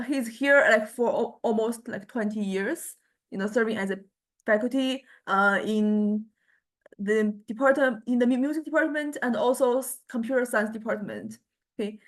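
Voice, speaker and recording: Halting woman lecturer with a higher voice. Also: fairly bright tone. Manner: halting, hesitant, with frequent stammered word starts. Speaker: university music lecturer. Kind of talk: informal lecture to a class.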